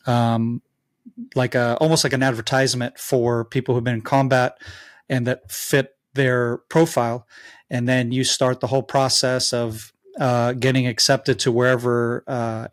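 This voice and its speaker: Halting American-accented man, dry sound. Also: mid-range voice, no echo. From Israel. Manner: halting, many fillers and restarts. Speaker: guest. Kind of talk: interview answers.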